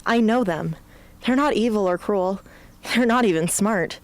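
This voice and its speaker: A woman's voice: medium pitch, unhurried, no echo, close to an everyday voice, rough recording. A woman playing a defiant, guarded young rebel hunter in an amateur radio drama, speaking in a blunt, challenging way. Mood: defensive. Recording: faint hum and background noise.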